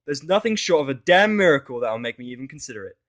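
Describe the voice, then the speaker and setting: A man's voice: medium pitch, big pitch swings, quick pace, fairly bright tone, pitched above the performer's own voice. A man playing a bitter, foul-mouthed, callous human man in a fan fiction audio drama, blunt and snapping. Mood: angry.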